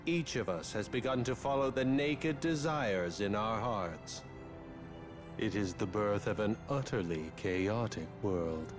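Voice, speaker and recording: An actor playing a haughty, scheming villain in a video game, grandiose and taunting. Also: male voice, on the low side, lively intonation, a little slow, music in the background. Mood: grandiose menace. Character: haughty, scheming male villain returned from the afterworld.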